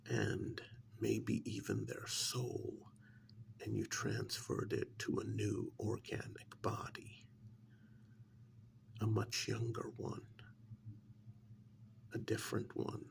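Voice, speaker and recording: A man playing a sinister, menacing agent in an ASMR role-play, speaking softly in a breathy, gravelly half-whisper with deliberate pauses. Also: lowish voice, completely flat delivery, heavily acted. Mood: mocking, accusing. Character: sinister male agent coolly interrogating and blackmailing a mad scientist. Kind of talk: soft-spoken ASMR role-play monologue.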